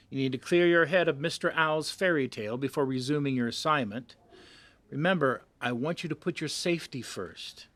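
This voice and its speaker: An actor playing a persuasive, dismissive magazine boss in an audio drama, brisk and patronizing as he coaxes. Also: a little high, fairly bright tone, no echo, natural voice.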